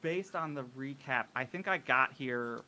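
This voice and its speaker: A man describing his character in a tabletop game session, halting with many fillers and restarts. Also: medium pitch, sharp tone. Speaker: player.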